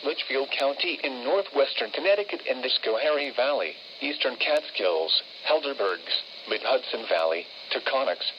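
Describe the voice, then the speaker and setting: A man's voice: medium pitch, animated, moderate pace, few pauses. A synthetic man's voice reading a formal, scripted weather forecast as an automated radio announcer. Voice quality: synthetic text-to-speech voice. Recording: muffled radio broadcast with loud static. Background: radio static.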